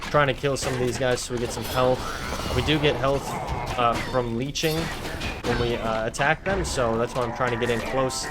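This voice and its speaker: A man casually commentating his video game play for viewers, chatty and slightly hoarse from talking all day. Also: mid-range voice, steady tone, normal speed. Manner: chatty, addresses viewers as guys, frequent fillers. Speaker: gaming video creator. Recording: game music loud behind voice. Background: game music and combat sounds.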